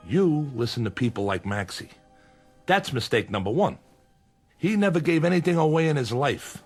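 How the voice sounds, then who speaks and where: An actor playing a menacing bookmaking boss in a radio crime drama, with weary sarcasm and veiled threats. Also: male voice, on the high side, expressive, moderate pace, soft background music. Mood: sarcastic, hard. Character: menacing, sardonic male gangland bookmaking boss. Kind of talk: old-time radio crime drama acting.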